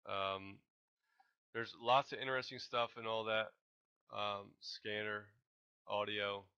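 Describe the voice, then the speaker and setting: A man with a fairly low voice that has a bright, forceful edge. He speaks off the cuff as an amateur commentator, halting and wandering as he speculates. The sound is a dull, lo-fi home recording. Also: little pitch movement, smooth. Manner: hesitant, rambling, halting delivery. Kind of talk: unscripted speculative commentary over a map.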